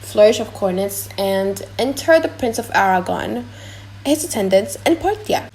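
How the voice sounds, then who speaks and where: A woman's voice: mid-range voice, lively intonation, moderate pace, gravelly, darkish tone, a little hiss or hum, rough recording. A woman reading scripted stage directions as the narrator of a dramatic audiobook.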